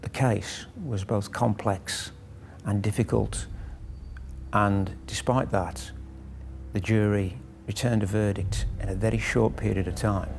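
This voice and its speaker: A man's voice: fairly low pitch, fairly even intonation, a little slow, vocal fry, faint music behind. A man giving an explanatory interview for a crime documentary. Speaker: expert interviewee.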